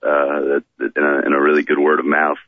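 A man with a very deep voice, a touring musician as a radio guest, talking unhurriedly with many fillers and restarts. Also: completely flat delivery, brisk, no echo. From Florida, raised in rural Maryland. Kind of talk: radio interview by phone. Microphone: phone. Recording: tinny phone line.

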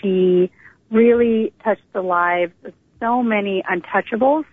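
A woman's voice: medium pitch, unhurried, no room sound. A middle-aged American woman from Northern California, telling her life story as an interview guest in an informal, conversational way over a phone line. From Northern California, United States. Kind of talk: personal storytelling in a casual interview. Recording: narrow tinny phone line.

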